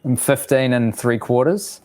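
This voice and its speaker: A man's voice: mid-range voice, measured pace, a little mellow. Dry-humored New man in his thirties. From New Zealand. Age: 30s. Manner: dry, playful joking. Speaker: guest. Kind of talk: casual comedic banter.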